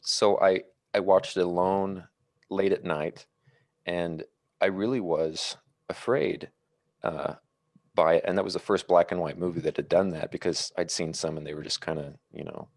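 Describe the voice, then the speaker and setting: A man chatting casually about films on a podcast in a measured, reflective way. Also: sits low, steady tone, darkish tone, dry recording. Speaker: podcast co-host. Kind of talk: casual film discussion.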